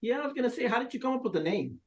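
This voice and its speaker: A man's voice: extremely high pitch, animated, racing. A man chatting casually as a co-host. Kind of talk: casual chat.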